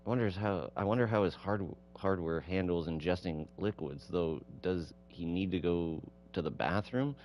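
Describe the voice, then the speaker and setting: A man voicing an earnest, curious visual novel hero who coaxes gently and muses to himself. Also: sits low, moderate pace, few pauses, a little hiss or hum, natural voice. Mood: curious, musing. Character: earnest, curious man courting an android, inwardly calculating.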